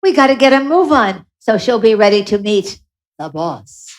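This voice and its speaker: A woman's voice: mid-range voice, big pitch swings, moderate pace, natural voice. A woman playing a bossy, exasperated little angel in a radio play, grumbling with sarcastic exclamations. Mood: brisk, grumbling. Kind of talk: live radio play acting.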